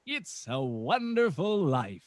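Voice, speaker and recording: A man's voice: on the high side, big pitch swings, takes it slowly. A man playing a grand radio drama host, delivering scripted old-time narration. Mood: dramatic, storytelling. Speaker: announcer and narrator. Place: remote video call recording.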